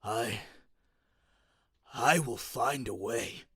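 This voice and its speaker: A man voicing a defiant old inventor father with a weary croak in a mythic audio story. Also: mid-range voice, drawn-out words, bright, forceful tone, dry recording, clean studio sound, voice pushed down, full character voice. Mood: weary, reassuring. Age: older. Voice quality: croaking, groaning.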